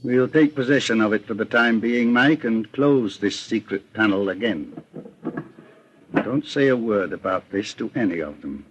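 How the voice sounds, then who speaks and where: An actor with an American accent playing a shrewd, calm, authoritative middle-aged private detective in an old-time radio drama, speaking deliberately. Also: male voice, on the low side, a little hiss or hum, natural voice. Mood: measured, assured. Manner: measured, deliberate, composed. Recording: muffled vintage American radio recording.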